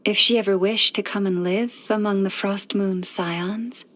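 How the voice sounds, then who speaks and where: An actress voicing a gentle young moon priestess in a video game, with a soft, breathy voice and a calm, soothing, formal manner. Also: female voice, medium pitch. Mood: calm, warm. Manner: calm, measured, soothing, formal poetic phrasing. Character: gentle, serene young woman, moon priestess of a forest faith. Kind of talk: scripted video game character voice lines.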